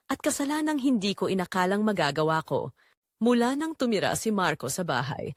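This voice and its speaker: A woman acts out a middle-aged mother-in-law, telling her own story of secret longing in an audio drama. Her delivery is confessional and measured, with a mid-pitched, very varied melody. The sound is dry and intimate, free of room echo. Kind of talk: first-person dramatic storytelling.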